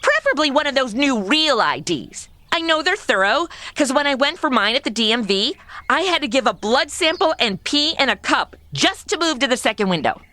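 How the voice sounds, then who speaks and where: Brief: actress as a snarky modern young woman; lively pitch, crisp dry recording. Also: normal speed, dry recording, heavily acted. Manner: wisecracking and snarky. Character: sarcastic modern young woman lost in old radio. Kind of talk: comedy radio drama acting.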